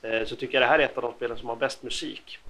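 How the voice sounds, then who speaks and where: A man presenting game facts on a podcast, speaking haltingly with many hesitant pauses. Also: medium pitch, steady tone, pushed and bright. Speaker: podcast host.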